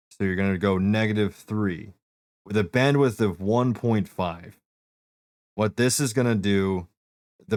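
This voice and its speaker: A man with a deep voice talks a friend through settings in a relaxed, plain way, with plenty of fillers. His tone sits low. The sound is dry, with no room around it. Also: no room sound. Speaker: streamer giving setup help. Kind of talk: casual walkthrough.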